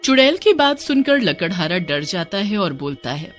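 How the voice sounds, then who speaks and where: A woman storyteller reads a scripted horror tale. Her medium-pitched voice is lively and varied, with faint music underneath. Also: moderate pace.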